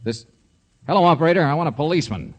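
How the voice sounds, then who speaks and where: An actor playing a brash, wisecracking private investigator in a 1950s radio drama, with clipped, slangy, insistent delivery. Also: male voice, fairly high pitch, expressive, very fast, long reverb tail. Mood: hurried, determined.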